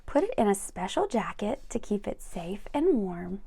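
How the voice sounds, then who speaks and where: A woman's voice: medium pitch, big pitch swings, normal speed, gravelly, mellow, husky. A woman reading a children's picture book aloud expressively, with chatty asides to young listeners. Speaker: read-aloud storyteller for children.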